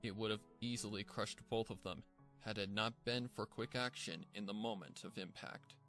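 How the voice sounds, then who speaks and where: Man, low voice, dramatic story narrator, measured theatrical delivery, background music. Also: fairly even intonation, fairly bright tone. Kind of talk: dramatic scripted fan fiction narration. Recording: music bed behind the voice.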